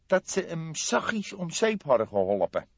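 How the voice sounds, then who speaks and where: A man voicing a scrawny, excitable café owner from the underworld in an audiobook, talking emphatic street slang. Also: a little high, a little slow, vocal fry, husky, no echo, pitched above the performer's own voice, heavily acted. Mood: confiding. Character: scrawny indignant small-time underworld café owner, male. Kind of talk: audiobook character acting. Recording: slightly dull, dry studio recording.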